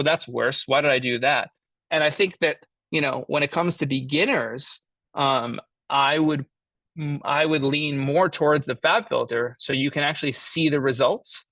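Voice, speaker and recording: A man giving an informal lesson as an audio engineer, explaining his tools in a halting way as he thinks aloud. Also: medium pitch, pushed and bright, no room sound. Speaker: mixing engineer teaching a class.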